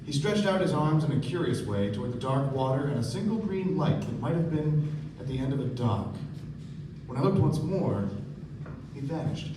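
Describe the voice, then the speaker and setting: A man's voice: mid-range voice, animated, moderate pace, lightly acted. Man acting a reserved narrator, echoey and distant. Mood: measured narration. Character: Nick, thoughtful, reserved young male narrator. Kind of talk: live radio play narration. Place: theatre stage with live audience. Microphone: far camera. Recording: roomy stage recording from afar, noisy.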